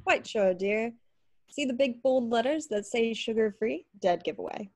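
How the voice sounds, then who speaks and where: A woman plays a doting mother in a drama table read. Her voice is fairly high, with a homely, gently teasing manner. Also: lively intonation, normal speed. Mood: fond. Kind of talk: script read on a video call.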